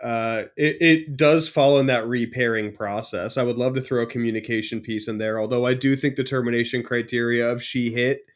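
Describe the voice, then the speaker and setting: A man's voice: medium pitch, steady tone, fairly quick, few pauses. Man explaining things in clinical jargon. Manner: explanatory, uses technical jargon. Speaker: clinician on a discussion panel. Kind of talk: casual professional discussion.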